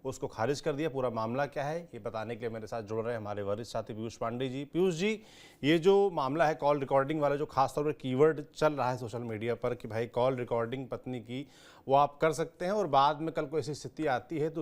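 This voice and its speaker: A male news anchor speaks in a medium-pitched voice. He presents and sums up news for viewers in an explanatory broadcast style. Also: fairly quick, darkish tone.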